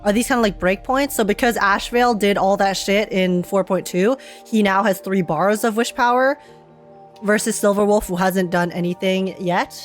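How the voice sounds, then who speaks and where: A woman's voice: mid-range voice, moderate pace, soft background music. A woman gaming streamer giving casual reaction commentary, slangy and thinking aloud.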